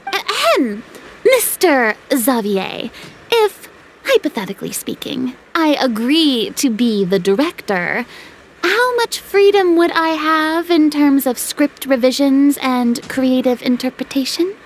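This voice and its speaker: A woman's voice: high-pitched, very animated, takes it slowly, slight background noise, full character voice, rough recording. A woman playing a proud, theatrical young star turned film director in a video game, haughty and self-important. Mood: haughty, deliberating.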